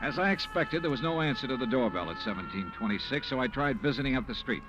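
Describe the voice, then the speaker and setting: A man acting a hardboiled insurance investigator with a mid-pitched, very lively delivery. He is dry, wry and brisk in old radio detective style, with music behind. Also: highs cut off, natural voice. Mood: matter-of-fact. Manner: brisk, dry, matter-of-fact.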